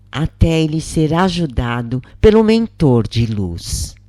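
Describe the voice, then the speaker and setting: A woman reads a channeled spirit's story in a calm, reverent tone, taking her time over every phrase. Her voice is soft and mellow, recorded close and dry with no room sound. Her pitch sits in the middle for a woman. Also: dry recording. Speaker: reader of channeled spirit messages. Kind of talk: slow devotional first-person reading.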